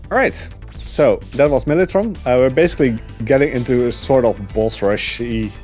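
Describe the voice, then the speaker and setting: A man speedrunning a game live and talking through it in a relaxed, chatty way, with plenty of fillers. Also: mid-range voice, moderate pace, faint music behind. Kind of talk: live gameplay commentary.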